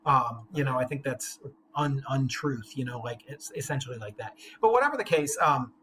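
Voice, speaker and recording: A man's voice: medium pitch, lively intonation, moderate pace, fairly bright tone, a little hiss or hum, poor audio. A man working as a literature teacher, reading aloud and explaining in casual, slangy language. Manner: slangy, informal paraphrasing.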